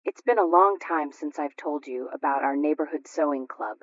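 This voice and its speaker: A woman's voice: deep voice, normal speed. Woman hosting radio homemaking chat, dull recording. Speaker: radio homemaking show host. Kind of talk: scripted old-time radio homemaking chat.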